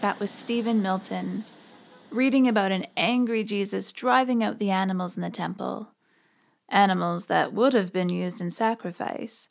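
A woman's voice: medium pitch, steady tone, moderate pace, creaky. A woman podcast host giving conversational religious commentary in an informal, explanatory way.

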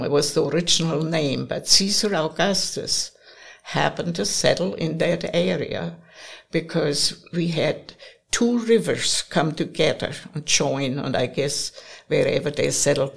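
A woman in her eighties from Bavaria with a German accent, slowly and chattily telling her life story as an interviewee. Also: deep voice, vocal fry, slightly rough. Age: 80s. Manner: slow, chatty reminiscing with occasional laughs. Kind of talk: personal storytelling.

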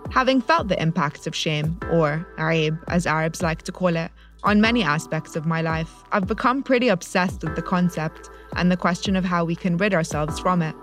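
Measured woman hosting. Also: lowish voice, a bit bright. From Egypt. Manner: measured, clearly read intros. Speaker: podcast host, journalist. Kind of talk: scripted narration. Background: faint music.

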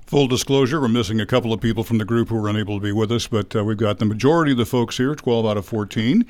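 A man's voice: fairly low pitch, rapid-fire, a little mellow. A man hosting a radio studio interview, asking easygoing, conversational questions. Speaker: radio host.

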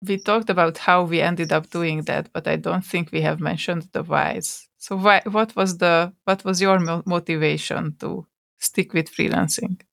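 A woman's voice: on the low side, fairly even intonation, moderate pace, gravelly, fairly bright tone. A woman with a Hungarian accent, co-hosting a podcast from home, chiming in easily and asking her co-host questions. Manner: easygoing, chiming in with short agreements. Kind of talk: casual conversation.

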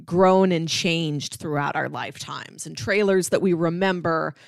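A woman's voice: sits low, unhurried, vocal fry, no room sound, clean studio sound. A woman co-hosting a podcast, explaining things about films in a casual, conversational way. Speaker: podcast co-host.

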